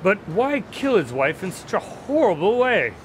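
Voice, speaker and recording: A man's voice: high-pitched, big pitch swings, moderate pace, a little hiss or hum. A man acting in a radio drama as an affable, inquisitive detective's sidekick who tells stories in an earnest, conversational way. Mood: incredulous, curious.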